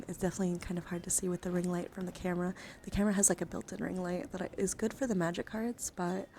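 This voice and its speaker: Whispering woman streamer, noisy background. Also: medium pitch. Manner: alternates soft whispering with hushed talk. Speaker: livestream host. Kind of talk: whispered ASMR chat. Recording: clear background noise on livestream.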